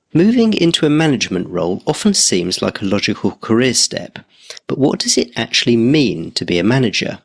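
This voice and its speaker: A man hosting a career podcast as a coach, reading a scripted intro and then asking interview questions in a measured, politely dry way. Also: mid-range voice, gravelly, fairly bright tone, no echo.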